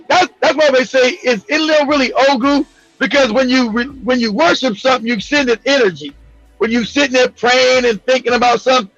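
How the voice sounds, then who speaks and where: A man hosting a casual talk show, speaking in a profane, excitable way and laughing often. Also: very high voice, vocal fry, no room sound, dull audio. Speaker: host.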